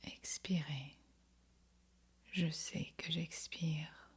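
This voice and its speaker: A woman guiding a yoga nidra meditation in a breathy, whispery, husky voice, speaking softly and soothingly with long pauses. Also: low voice, fairly even intonation. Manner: soft, soothing, unhurried instruction with long pauses. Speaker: meditation guide.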